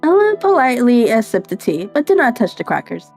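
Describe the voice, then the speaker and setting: A woman's voice: on the high side, sing-song, a little fast, darkish tone, faint music behind. A woman narrating a visual novel playthrough, reading story prose aloud and dropping in casual asides.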